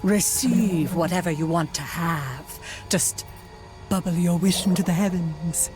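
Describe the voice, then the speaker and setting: A woman's voice: fairly low pitch, darkish tone, soft background music, close to an everyday voice. Woman playing a theatrical, mysterious fortune teller. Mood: mysterious, salesy. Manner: grandiose, salesy, drawn-out delivery. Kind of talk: cartoon game cutscene acting.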